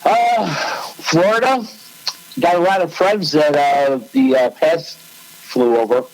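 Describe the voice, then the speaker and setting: A man's voice: on the high side, slow, poor audio. A man, co-hosting a casual chat, rambling and laughing often. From Chicago. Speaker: co-host.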